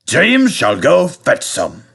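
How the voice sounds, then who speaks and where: A man voicing an authoritative, cautious but fair railway controller in a storybook, speaking in a deliberate, weighty manner. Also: on the high side, huge pitch swings, gravelly, fairly bright tone, breathy edge, voice pushed up, heavily acted. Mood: thoughtful.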